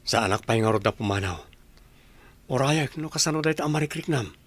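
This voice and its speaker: A man's voice: mid-range voice, lively intonation, a little fast, fairly bright tone, dry recording. A man acting as a caring, earnest young husband in an Ilocano radio drama, with a Filipino Ilocano accent. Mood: concerned.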